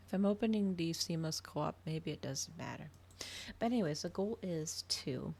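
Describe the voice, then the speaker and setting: Girl streamer just chatting, kinda low voice w lots of fry, rambly thinking out loud. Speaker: livestreamer. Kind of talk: casual chat to stream viewers.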